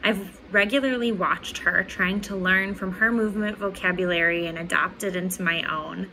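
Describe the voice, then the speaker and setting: A woman's voice: mid-range voice, steady tone, moderate pace, pushed and bright, slight background noise, rough recording. A woman in her twenties gives a scripted award tribute into a phone at arm's length. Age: 20s. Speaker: award presenter. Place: small room at home.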